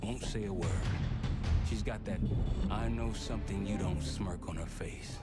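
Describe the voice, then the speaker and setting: A man's voice: fairly low pitch, steady tone, measured pace, loud music behind the voice, close to an everyday voice. A man playing a grave, troubled military team leader in a video game cutscene. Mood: brooding.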